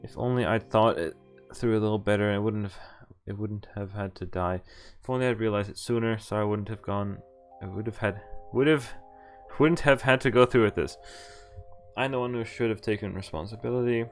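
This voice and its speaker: A man's voice: on the low side, steady tone, a little fast, faint music behind. A man reading visual novel text aloud and adding casual, sweary commentary as he streams. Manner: swears freely.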